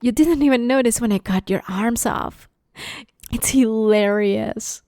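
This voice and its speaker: A woman voicing a playful, sadistic vampire in an ASMR roleplay, teasing her captive with mock sweetness and quiet menace. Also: fairly high pitch, measured pace, no echo, studio quality, voice pushed up, natural voice. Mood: gleeful, cruel. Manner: teasing, mockingly sweet, menacing, often hushed. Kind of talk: ASMR roleplay, dramatic monologue.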